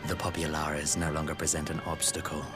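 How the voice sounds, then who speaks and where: An actor playing a cold, imperious schemer from an empire in a video game. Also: male voice, a bass voice, level tone, brisk. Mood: cold, menacing. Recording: music behind the voice.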